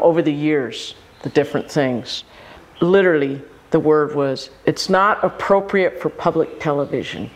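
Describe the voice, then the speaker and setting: A man about sixty from Hawaii talks as an interview guest about his film work. He tells stories animatedly, playing out quoted exchanges. His voice sits a little high for a man. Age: around 60. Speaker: filmmaker guest. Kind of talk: interview answers.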